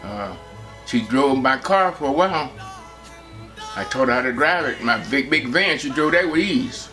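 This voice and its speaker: A man in his seventies from Texas, recalling his family life in a relaxed, rambling way with many pauses. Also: medium pitch, soft background music. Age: 70s. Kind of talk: personal reminiscence.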